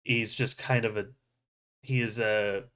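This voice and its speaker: A man's voice: lowish voice, steady tone, a little slow, fairly bright tone. A man hosting a livestream with casual movie news chat, joking and swearing freely. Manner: jokey, profane. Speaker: show host.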